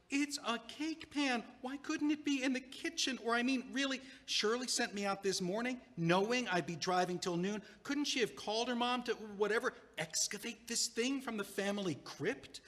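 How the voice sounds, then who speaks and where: A man's voice: high-pitched, fairly bright tone. A man playing a flustered young man who rambles and stammers in exasperation, recorded in a theater. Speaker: playwright reading stage directions and acting. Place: performing arts theater. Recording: reverberant theater stage.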